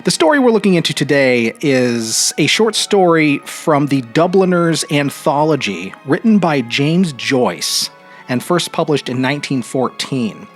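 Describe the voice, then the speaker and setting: A man's voice: a little high, animated, unhurried, soft background music. An American man hosting a podcast solo, talking casually in an informal, rambling way and often interrupting himself. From Vermont, New England. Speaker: podcast host.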